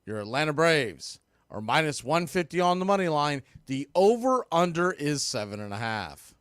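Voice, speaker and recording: A man's voice: on the high side, a bit bright, smooth, no room sound, clean studio sound. A man hosting a live baseball radio watch party, hyping the game and calling out chat members by name. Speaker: radio play-by-play host.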